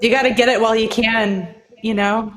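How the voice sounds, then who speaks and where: A woman's voice: on the high side, fairly even intonation, moderate pace, rough recording. Eager woman chatting on a video call. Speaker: guest musician. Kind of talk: casual chat on a live stream.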